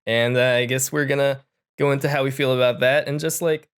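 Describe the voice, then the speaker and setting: A man's voice: medium pitch, fairly even intonation, fairly quick, fairly bright tone, smooth. Casual male podcast host. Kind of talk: casual unscripted podcast chat.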